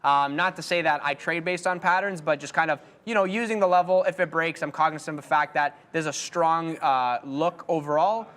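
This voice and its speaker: A man's voice: fairly high pitch, brisk, hardly any pauses, fairly bright tone. A man trading live on a show, talking through his trades in a laid-back, rambling way with lots of fillers.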